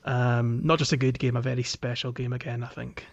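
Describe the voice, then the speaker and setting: A man co-hosting a podcast in casual chat, speaking in a hesitant, halting way. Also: medium pitch, fairly even intonation. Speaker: podcast co-host. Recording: dull lo-fi microphone audio.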